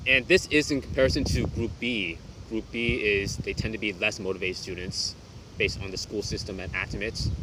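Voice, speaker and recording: A young man reflects on his teaching in a calm, reserved manner, often pausing and restarting his sentences. His voice sits a little low. A steady hum of air conditioning and background noise runs under the recording. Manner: quiet, reserved, hesitant with restarts. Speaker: English teacher. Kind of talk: reflective vlog monologue.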